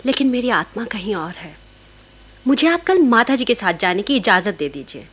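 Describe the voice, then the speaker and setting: An actress playing a devout young woman in a radio play, gentle but spirited, with an earnest, expressive delivery. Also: mid-range voice, normal speed, breathy edge. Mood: gentle, pleading. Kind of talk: Hindi radio play acting. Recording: old radio play recording, faint music.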